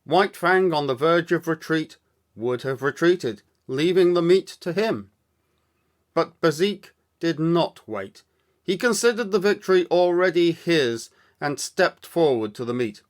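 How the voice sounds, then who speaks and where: A man reading a story aloud as scripted narration, with measured, deliberate, dramatic phrasing. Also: on the high side, lively intonation. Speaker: audiobook storyteller, actor.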